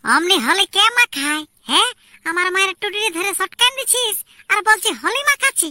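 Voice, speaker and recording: A woman's voice: very high-pitched, lively intonation, fairly quick, background noise. A woman voicing an indignant, tattling little village boy in a comic cartoon, with a rural Purulia Bengali accent. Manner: scolding, tattling. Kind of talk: amateur comic cartoon dialogue.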